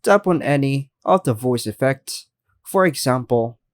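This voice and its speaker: A man's voice: mid-range voice, expressive, measured pace, no room sound. A man hosting a step-by-step tutorial, reading scripted instructions plainly in short segmented phrases. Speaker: tutorial host.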